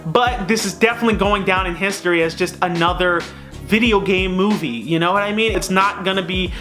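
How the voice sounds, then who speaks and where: A man's voice: high-pitched, fairly quick, pushed and bright, faint music behind. A man hosting a casual, opinionated review, talking straight to viewers in a conversational, emphatic way. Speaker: film reviewer and host.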